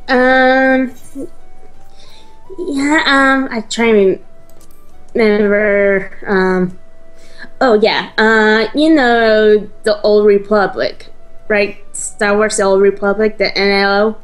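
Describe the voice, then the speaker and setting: Female co-player, mid-pitched, unhurried casual game chat, faint music underneath. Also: fairly even intonation, smooth, rough recording. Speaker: co-player and guest commentator.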